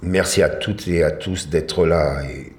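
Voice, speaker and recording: Casting: formal, solemn man for radio drama, deep voice, roomy reverberant sound. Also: measured pace. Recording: echoey room, faint music.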